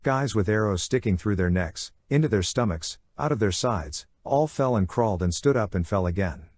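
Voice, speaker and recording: Male audiobook narrator, slightly low and rough-edged, conversational yet dramatic delivery of a young adult adventure. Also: animated, normal speed, darkish tone, dry recording, studio quality. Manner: conversational, punchy short phrases, acts out sound effects.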